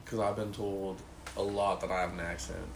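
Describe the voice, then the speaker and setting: A man's voice: low-pitched, flat delivery, moderate pace, vocal fry. Young guy joking around w friends, laughs a lot, swears, echoey room w a bit of hiss. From Pacific Northwest of the US. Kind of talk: casual chat among friends.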